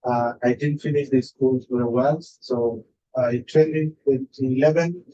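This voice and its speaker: A man from South Sudan, testifying slowly to a legislative committee with many pauses and fillers. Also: medium pitch, fairly even intonation, warm tone. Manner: slow, halting, many uh fillers. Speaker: adult education student testifying. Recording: dull lo-fi room audio with faint noise.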